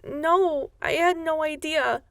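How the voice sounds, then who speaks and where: A woman's voice: high-pitched, slow, a bit bright, dry recording, studio quality, heavily acted. A woman playing a bewildered, innocent secretary in a radio drama.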